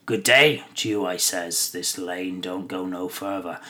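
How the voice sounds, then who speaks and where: Man voicing a suspicious hobbit farmer, rustic dialect, lowish voice. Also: measured pace, pushed and bright, exaggerated voice. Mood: grumbling storytelling. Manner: rustic, folksy dialect speech.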